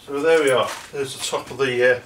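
An older man chats to himself and the viewer while working on a car, in a plain, mid-pitched voice that mutters along with the job. He is picked up from a distance by the camera, so his voice sounds roomy and echoey off the garage walls, with clear workshop noise behind him. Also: steady tone, brisk, fairly bright tone. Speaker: home car restorer. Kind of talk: casual workshop commentary. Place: cluttered home garage. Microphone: camera mic from a distance.

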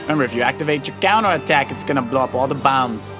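A man's voice: mid-range voice, animated, quick pace. A man, probably middle-aged, giving casual gameplay commentary and explaining moves like a coach. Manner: advising, explanatory. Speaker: father co-hosting a children's let's play. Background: video game music.

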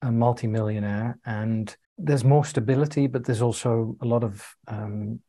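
Measured, hesitant man from the UK. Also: mid-range voice, warm tone. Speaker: co-host. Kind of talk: casual video call chat. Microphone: video call.

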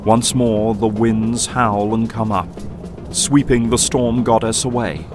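A man's voice: on the low side, steady tone, takes it slowly. A man narrating an audio drama from a script in a solemn, dramatic storytelling manner. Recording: loud music and effects behind.

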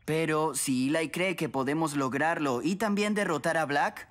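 A man playing a smooth-talking, sly young gang newcomer in a cartoon dub. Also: fairly high pitch, moderate pace, fairly bright tone, lightly acted. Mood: smooth, persuasive. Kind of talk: animated cartoon dub acting.

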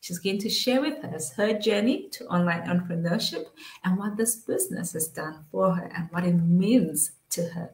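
A woman in her forties hosting a live online interview, greeting viewers with upbeat, drawn-out welcomes over a webcam. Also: on the low side, expressive. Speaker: host. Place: small room at home. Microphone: webcam on a video call. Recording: echoey room.

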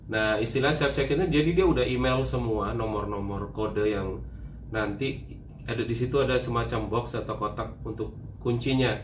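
A man's voice: medium pitch, fairly even intonation, moderate pace, roomy sound, a little hiss or hum. A man in his thirties, a travel vlogger, chatting casually in a hotel room and explaining things step by step. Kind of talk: casual chat.

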